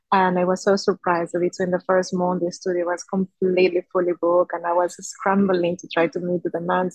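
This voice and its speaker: A woman in her thirties from Peru, whose first language is Spanish, talks as an interview guest. Her voice is on the low side and a little husky, with a dry sound. She tells her own story conversationally. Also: no room sound, rough recording. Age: 30s. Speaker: podcast guest, Pilates studio founder.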